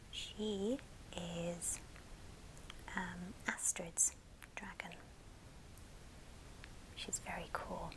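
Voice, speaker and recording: A woman whispering softly and breathily in a gentle, affectionate ASMR show and tell. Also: medium pitch, background noise. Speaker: ASMR video host.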